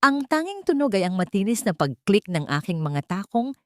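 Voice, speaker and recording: A woman with a Filipino accent narrating a scripted dramatic story in the first person, in a measured, emotive way. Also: medium pitch, very animated, a little mellow, dry recording, studio quality.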